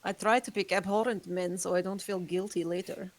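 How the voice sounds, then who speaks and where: A woman reads a vampire who has outlived everyone she loved, speaking in a measured, somber way. Her voice sits at an ordinary middle pitch, and the tone stays guarded and dry. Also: steady tone, a little hiss or hum, lightly acted. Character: ageless female vampire, guarded and remorseful. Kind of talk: table read.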